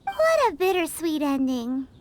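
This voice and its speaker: A woman voicing a tiny, excitable, cheeky fairy companion with a squeaky childlike voice in a video game, with music behind her. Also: unhurried, background noise, exaggerated voice. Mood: cheery. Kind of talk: animated video game character acting.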